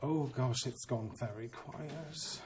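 A man's voice: mid-range voice, slow. A Welsh man in his forties, a Welsh speaker first, giving a conference talk to teachers in a training room. He is theatrical and teasing, acting out quoted voices and playing to the seated audience on a lapel mic. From Wales.

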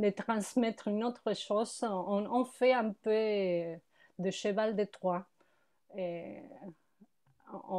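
A woman in her thirties, a psychoanalyst speaking on a video call through earbuds, hesitant and reflective. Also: mid-range voice. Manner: hesitant, reflective, laughs softly. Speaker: psychoanalyst panelist. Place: room with bookshelves and a painting.

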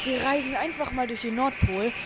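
A young man tells a parody story in an amateur audio drama. Very loud ambient noise, like a vehicle, runs behind his voice. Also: very high-pitched, fairly quick.

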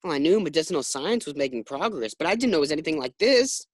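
A man's voice: fairly high pitch, big pitch swings, fast, no echo, clean studio sound. Man acting a swooping, flustered, boastful young husband. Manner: stammering when flustered. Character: flustered, boastful expectant husband, naive and excitable. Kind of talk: old-time radio sitcom acting.

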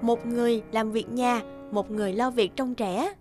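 Casting: woman as polite, businesslike cartoon receptionist, high pitch, formal courtesy, background music. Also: fairly even intonation, moderate pace, lightly acted. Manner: courteous, formal customer-service delivery. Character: polite, brisk female agency receptionist. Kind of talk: animated moral-tale voice acting.

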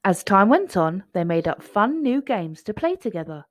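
A woman's voice: mid-range voice, sing-song, normal speed, no room sound, studio quality. A woman reading a children's picture book aloud in a storytelling style.

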